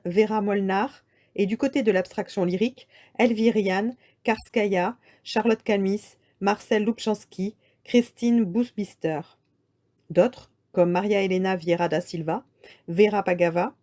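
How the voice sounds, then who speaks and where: Mellow-voiced woman reading a lecture. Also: mid-range voice. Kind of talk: scripted lecture read aloud.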